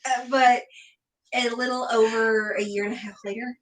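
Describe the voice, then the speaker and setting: A woman in her late twenties co-hosting a casual live Q&A, easygoing and giggly, tossing out quick questions. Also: a little high, fairly even intonation, poor audio. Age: 20s. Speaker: podcast co-host.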